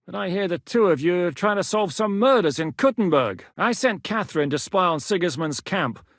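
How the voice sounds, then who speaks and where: A middle-aged actor playing a blunt, battle-hardened military commander who gives orders and swears freely, recorded as video game voice lines in a studio booth. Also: male voice, sits high, expressive, moderate pace, fairly bright tone, no room sound. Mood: commanding.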